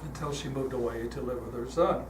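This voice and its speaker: Older man, calm storytelling in an echoey hall. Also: mid-range voice, brisk. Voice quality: calm, relaxing voice. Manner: relaxed, chatty, frequent uh fillers and restarts. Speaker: guest speaker leading a guided meditation. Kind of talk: storytelling talk to a congregation. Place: church hall. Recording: echoey hall, heard from a distance, noisy.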